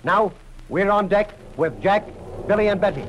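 A man's voice: sits high, moderate pace, lo-fi sound, slight background noise. A man giving dramatic scripted narration as an old-time radio announcer.

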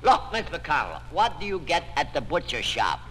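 A man's voice: a little high, wildly swooping pitch, normal speed, bright, forceful tone, exaggerated voice. A man playing a sarcastic, long-suffering quizmaster in an old-time radio comedy quiz, sardonic and exasperated. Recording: noisy old radio broadcast, echoey hall.

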